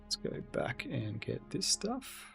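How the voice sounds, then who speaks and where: A man's voice: medium pitch, huge pitch swings, slow, vocal fry, a bit bright, faint music behind. A man streaming a video game, chatting casually with viewers and reading their messages aloud. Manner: chatty, reads and answers chat aloud. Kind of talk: casual let's-play commentary.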